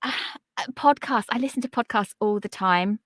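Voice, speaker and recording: A woman's voice: normal speed, a bit bright, no echo, clean studio sound. A woman in her forties from England, a podcast coach talking as an interview guest in an upbeat, encouraging way. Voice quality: high-pitched. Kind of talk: casual interview chat.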